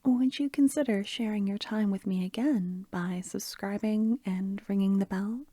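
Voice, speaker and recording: A woman narrating softly as an ASMR storyteller, half-whispering in a breathy, gentle, soothing way. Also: mid-range voice, moderate pace, no room sound, clean studio sound. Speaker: ASMR storyteller, channel host.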